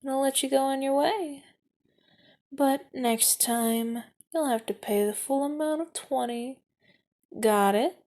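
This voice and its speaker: A menacing street extortionist, played by a woman, taunting and mock-sweet in an audio roleplay. Her voice sits high yet sounds soft and mellow, drawn out slowly, sinking into threatening whispers. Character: menacing knife-wielding female street extortionist.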